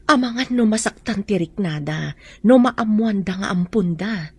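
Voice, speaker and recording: An actress playing a concerned, cautious, protective friend in an Ilocano radio drama, with a husky voice. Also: female voice, medium pitch, normal speed, dry recording. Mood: cautious, earnest.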